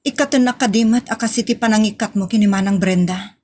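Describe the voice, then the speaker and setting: A woman's voice: medium pitch, fast talker, darkish tone, a little hiss or hum, natural voice. A woman with a Filipino accent playing a wronged but proud office employee, pleading yet defiant, in a radio-style drama. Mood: hurt, defiant.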